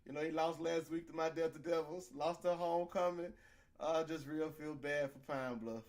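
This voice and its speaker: A man co-hosting a casual college sports chat, his voice scratchy as it recovers. He sits a bit high for a man with a gravelly edge. He is animated and opinionated, leaning on fillers as he goes. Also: normal speed. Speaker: podcast co-host.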